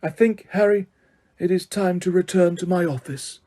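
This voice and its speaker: Man doing a soft-spoken old wizard, higher and quieter than his own. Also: a little slow, husky, full character voice. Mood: calm. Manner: quiet, gentle. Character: wise calm old male headmaster wizard.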